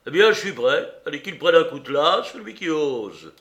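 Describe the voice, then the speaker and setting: A man's voice: fairly high pitch, big pitch swings, moderate pace, fairly bright tone, voice pushed up. A man voicing an old one-legged pirate captain who roars threats and commands his crew. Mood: menacing.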